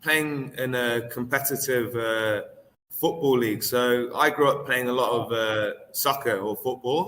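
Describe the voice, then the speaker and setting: A young man, a triathlete talking casually as an interview guest, relaxed and hesitant with many fillers. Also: medium pitch, normal speed, long reverb tail, slight background noise. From England.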